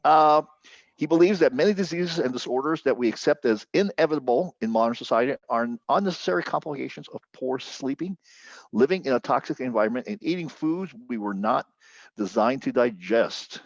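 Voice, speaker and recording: A man's voice: animated, a little fast, no echo. Male podcast host, big radio-announcer delivery, laughs easily, slightly dull dry recording. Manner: booming announcer-style radio voice for intros; laughs often, loose and chatty. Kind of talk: casual interview podcast.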